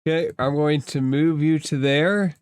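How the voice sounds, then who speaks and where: A man running a tabletop roleplaying game as game master, narrating in a measured, deliberate way. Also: fairly high pitch, smooth, dry recording, studio quality.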